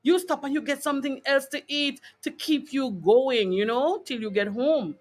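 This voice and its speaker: A woman's voice: high voice, normal speed. A woman with a Jamaican accent hosting a wellness broadcast, talking informally and emphatically to her online audience and checking in with short tag questions. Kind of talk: informal motivational health talk to a live online audience.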